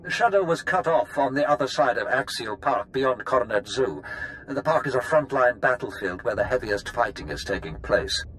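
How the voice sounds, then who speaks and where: An actor playing a cordial, scheming Sith lord in a video game, courtly and theatrical. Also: male voice, mid-range voice, animated, moderate pace, a bit bright, a little hiss or hum, close to an everyday voice, rough recording. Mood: grave briefing.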